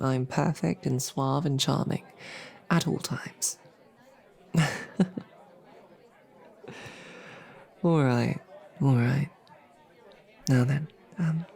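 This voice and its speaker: Woman playing a slow, creaky-voiced bashful lady knight. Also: extremely low pitch, warm tone, heavily acted. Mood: playful, teasing. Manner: halting, flustered, rambling. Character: bashful, lovestruck female royal knight, sweetly awkward and playfully snarky. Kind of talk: romantic fantasy audio roleplay.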